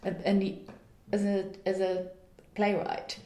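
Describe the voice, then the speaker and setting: A woman's voice: mid-range voice, monotone, unhurried, dark tone, roomy sound. A woman playwright answering interview questions earnestly, searching for her words and laughing lightly now and then.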